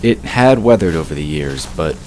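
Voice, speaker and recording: A man's voice: a bass voice, animated, normal speed, a little mellow, a little hiss or hum, rough recording. A man reading scripted narration for a fantasy audio drama.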